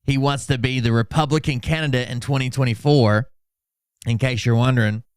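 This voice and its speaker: A man's voice: mid-range voice, steady tone, a little fast, smooth, no room sound, studio quality. A man hosting a commentary stream in a sarcastic, mocking, profane manner. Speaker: host of a commentary livestream. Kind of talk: sarcastic reaction commentary with mock-dramatic readings.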